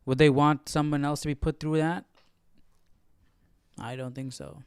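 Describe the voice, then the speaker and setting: A man's voice: fairly high pitch, little pitch movement, unhurried, darkish tone, clean tone, no room sound. A man hosting a Bible study stream, commenting casually and chattily on a reading.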